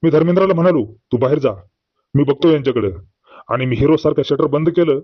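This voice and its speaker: Man playing a high-pitched boastful old village man. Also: fast, no room sound, lo-fi sound, close to an everyday voice. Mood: storytelling. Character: boastful old village man, grieving, exasperated, telling tall tales. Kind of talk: comedy cartoon dialogue.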